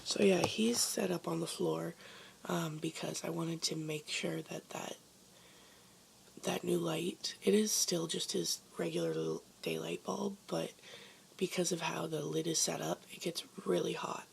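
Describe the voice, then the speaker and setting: A woman vlogger with a hoarse voice, chatting casually and rambling with lots of ums from her basement bedroom. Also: fairly low pitch, expressive, unhurried, slight background noise. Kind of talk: casual chat to camera.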